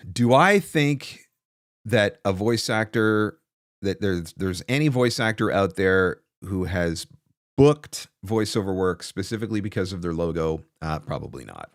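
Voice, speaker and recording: A man's voice: deep voice, no room sound, clean studio sound. A man in his forties hosting a casual advice Q&A, speaking emphatically with rhetorical questions and repeated key phrases. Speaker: host, voice actor coach. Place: small home studio, indoors. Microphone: close desk broadcast microphone.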